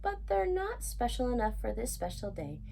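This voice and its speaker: A woman voicing an eager, tender-hearted young boy mouse in a storybook, earnest and excitable. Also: fairly high pitch, normal speed, vocal fry, slight background noise, pitched above the performer's own voice.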